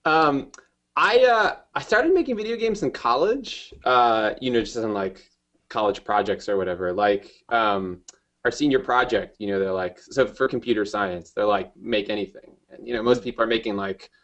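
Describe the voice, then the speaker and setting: A man's voice: on the low side, expressive, moderate pace, a bit bright. Rambling male game developer guest. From New Jersey. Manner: rambling, filler-heavy. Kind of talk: casual interview chat.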